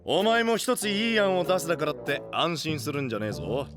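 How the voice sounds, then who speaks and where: A man playing a gruff, ambitious knight boss with a soft heart, speaking in brash delinquent style in an anime voice drama. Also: fairly high pitch, sing-song, fairly quick. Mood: brash, approving. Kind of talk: Japanese anime voice drama acting. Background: background music.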